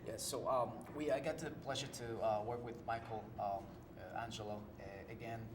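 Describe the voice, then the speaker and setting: A man's voice: medium pitch, fairly even intonation, moderate pace, noisy background. A man from Ecuador with an Ecuadorian Spanish accent, a native Spanish speaker, answering interview questions hesitantly with many fillers. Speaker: guest singer-songwriter.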